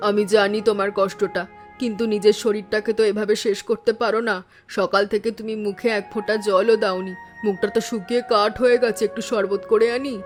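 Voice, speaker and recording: A middle-aged woman voicing a kindly, steadfast village mother in an animated family drama. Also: medium pitch, fairly quick, close to an everyday voice. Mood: soothing. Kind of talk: animated moral story voice acting. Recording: faint music behind the voice.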